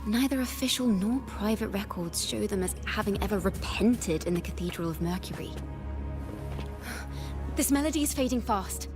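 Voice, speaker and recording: A woman's voice: mid-range voice, moderate pace, close to an everyday voice. A woman voicing a thoughtful, inquisitive young investigator in a video game, earnest and deductive. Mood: pensive. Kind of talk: scripted video game dialogue. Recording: music behind the voice.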